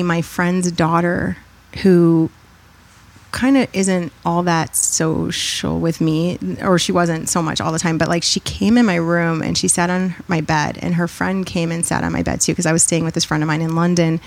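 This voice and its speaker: Animated, low, gravelly-voiced woman. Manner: animated, digressive, laughs often. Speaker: podcast guest, author and consultant. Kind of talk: casual interview chat.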